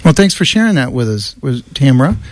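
A male radio host introduces his guests in a studio, often stumbling and restarting mid-word. His voice is middle-pitched and dry, recorded close to the mic. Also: big pitch swings, a little fast, no room sound. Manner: stumbling over names, warm welcomes. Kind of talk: radio interview.

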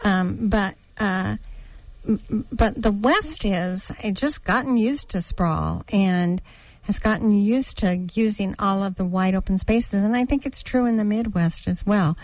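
Slow-spoken woman with a dry studio sound. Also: mid-range voice, no room sound, rough recording. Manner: deliberate, pauses with fillers. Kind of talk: casual radio chat.

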